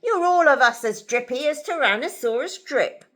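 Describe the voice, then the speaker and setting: A woman voicing a big, blustering tyrannosaur father who scoffs in a loud, booming manner for a children's storybook. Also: lively intonation, moderate pace. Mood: scornful.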